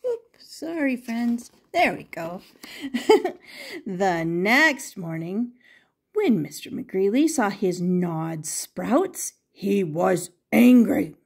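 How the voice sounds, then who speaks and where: An older woman reading a picture book aloud to young children as a grandmother would, expressive and emphatic, repeating phrases and asking questions. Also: medium pitch, drawn-out words, vocal fry, husky.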